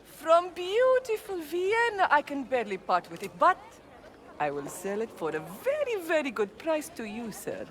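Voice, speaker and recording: A woman's voice: high voice, huge pitch swings, normal speed. A woman playing a haughty, theatrical, wily trader in a video game, with some ambient noise behind her. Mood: wheedling. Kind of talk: video game character acting.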